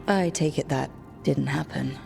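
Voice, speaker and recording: Low-voiced, level-headed adventurer leader. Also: female voice, a little slow, vocal fry, exaggerated voice. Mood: curious. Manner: direct, questioning. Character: determined adult female adventurer leader, level-headed and blunt. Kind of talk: video game dialogue. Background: music.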